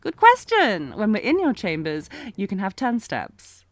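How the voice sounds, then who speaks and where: A woman's voice: medium pitch, huge pitch swings, a little slow, no echo. A woman playing a sardonic, protective knight bodyguard in a fantasy audio roleplay, with a taunting, commanding, intense manner. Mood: insistent, tense. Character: sardonic, protective female knight bodyguard, old academy rival. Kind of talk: scripted fantasy audio roleplay, acted one-sided dialogue.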